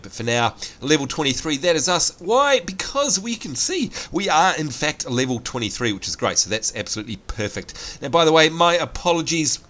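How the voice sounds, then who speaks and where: An Australian man with a congested, sniffly voice from hay fever, giving chatty gameplay commentary and viewer shout-outs. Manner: chatty, upbeat, lively pitch swings. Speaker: gaming channel host.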